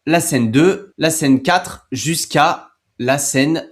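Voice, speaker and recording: A man's voice: a little high, takes it slowly. A man hosting a tutorial in a casual, explanatory way, talking informally and directly to the viewer. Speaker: tutorial host.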